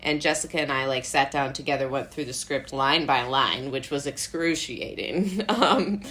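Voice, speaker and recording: An American woman with a fairly deep voice chats informally as a guest. Her talk rambles, peppered with "like", as she interrupts herself and circles around her point in an easygoing conversational style. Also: normal speed. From Brooklyn, New York, USA. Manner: rambling, animated asides with frequent "like" and self-interrupting laughs. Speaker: playwright, director and actor, interview guest.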